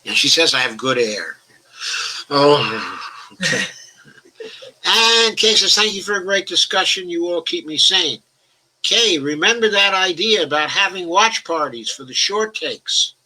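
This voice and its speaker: Older male host, bright tone, relaxed comment-reading chat, mild stutter. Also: on the high side, a little slow, low-quality recording. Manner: talks about his own stutter, some restarts. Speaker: host of a political discussion show. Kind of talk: casual live-stream chat, reading out viewer comments.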